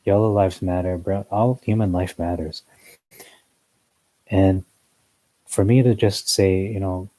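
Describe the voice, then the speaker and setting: Man, deep husky voice, dry close sound, earnest rambling religious commentary. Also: warm tone, dry recording, poor audio. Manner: earnest, rambling, with frequent fillers. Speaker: newsletter commentator.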